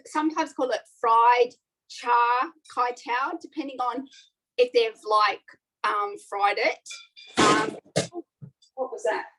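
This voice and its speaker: A woman's voice: on the high side, a bit bright, rough recording. A middle-aged woman, chatting her way through a live online cooking demo with frequent ums. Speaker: cooking class host.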